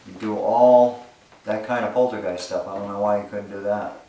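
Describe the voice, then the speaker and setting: A low-voiced man talks to the unseen in a coaxing, easygoing way, his questions bouncing around an echoey room. He sits back from the mic, and a faint hiss runs underneath. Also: steady tone, moderate pace, dark tone, recorded from a distance. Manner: coaxing, speaks to spirits directly. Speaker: paranormal investigator. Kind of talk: casual ghost-hunting talk. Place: inside a house.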